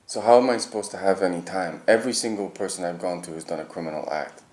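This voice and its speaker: A man's voice: deep voice, fast, a little mellow. A man in casual conversation, insistent and argumentative. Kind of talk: casual chat. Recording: echoey room.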